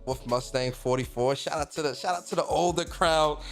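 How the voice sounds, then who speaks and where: A man in his thirties chats on a gaming livestream, reading viewer messages and tossing off profane, laughing shout-outs. His voice sits a little on the high side. Faint music plays under him. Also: a little fast. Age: 30s. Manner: loose and swearing, laughs often, shout-outs to chat. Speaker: streamer and podcast host. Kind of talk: casual livestream chat reading viewer comments.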